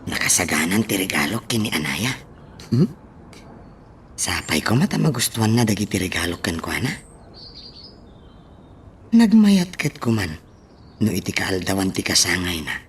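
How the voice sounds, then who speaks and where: A man's voice: low voice, expressive, normal speed, long pauses, fairly bright tone, far from the mic, slight background noise. A man playing a lovesick, hopeful young suitor in a radio drama.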